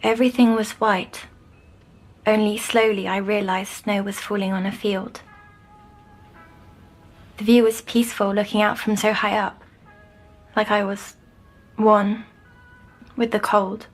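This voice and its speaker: An actress playing a timid young girl troubled by nightmares in an audio drama, speaking hesitantly and softly. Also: mid-range voice, level tone, soft background music, close to an everyday voice. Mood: haunted, wondering. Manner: hesitant, halting, softly descriptive. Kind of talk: scripted audio drama acting.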